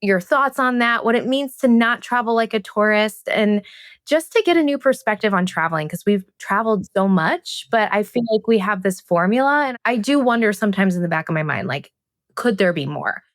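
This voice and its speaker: A woman's voice: mid-range voice, lively intonation, fairly quick, dry recording, clean studio sound. A woman, a podcast host speaking in a casual, conversational interviewing style.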